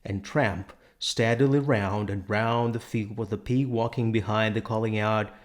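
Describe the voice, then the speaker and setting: A man reading a classic novel aloud as narrator, in a measured storytelling manner with deliberate pauses. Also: fairly low pitch, fairly even intonation. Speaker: audiobook narrator. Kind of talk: scripted literary narration.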